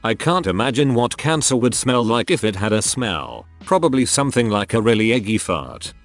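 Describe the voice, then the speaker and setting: A man narrating a string of short first-person stories in a brisk, steady scripted read. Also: mid-range voice, soft background music.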